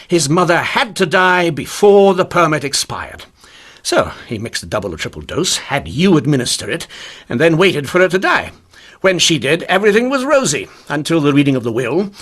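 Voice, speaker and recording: A shrewd male inspector in a radio mystery. His voice sits a little high and swings widely in pitch. He is commanding, with dry sarcasm. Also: very animated, normal speed, fairly bright tone. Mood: intent, deducing. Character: sardonic, shrewd male police inspector in 1895 Ontario. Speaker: actor in lead role. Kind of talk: radio mystery drama acting.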